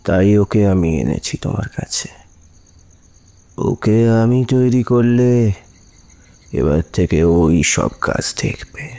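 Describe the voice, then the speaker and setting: An actor playing an aging, coldly persuasive professor and crime accomplice in an audio drama, speaking deliberately. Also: male voice, lowish voice, lively intonation, gravelly, mellow, heavily acted. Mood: cold, calculating. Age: older. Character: aging, scheming professor who procures girls for traffickers. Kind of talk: Bengali audio drama acting.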